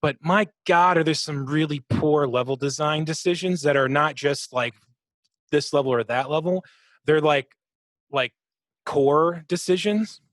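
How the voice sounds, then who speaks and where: A man with a slightly high voice chats casually as a podcast co-host about video games. He swears freely and throws in vocal sound effects. The sound is very dry, with no room around it. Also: measured pace, a bit bright, dry recording. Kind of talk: casual gaming chat.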